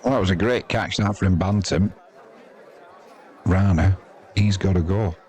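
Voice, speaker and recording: A man's voice: low voice, steady tone, unhurried, darkish tone, some chatter behind. A man with an English accent giving chatty, wry, self-deprecating amateur live commentary on cricket. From England.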